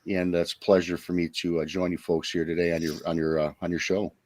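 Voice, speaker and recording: Deep-voiced middle-aged man, halting and rambling. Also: level tone, darkish tone, no echo. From Michigan, United States. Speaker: podcast guest, Bigfoot eyewitness. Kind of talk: conversational personal account.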